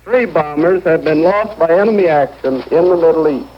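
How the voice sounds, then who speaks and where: A high-pitched man delivers a scripted war report in 1940s radio news style. His voice comes through an old muffled shortwave broadcast with faint noise behind it. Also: normal speed.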